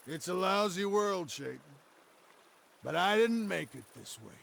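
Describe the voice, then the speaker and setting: An actor playing a sly, cynical American outlaw in a game cutscene, with a gravelly, drawling, taunting voice. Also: male voice, sits high, animated, measured pace, fairly bright tone, full character voice. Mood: sly, bitter. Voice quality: gravelly, creaky. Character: sly, cynical outlaw ex-sheriff of the Old West. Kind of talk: video game cutscene acting. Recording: echoey, reverberant sound with music behind.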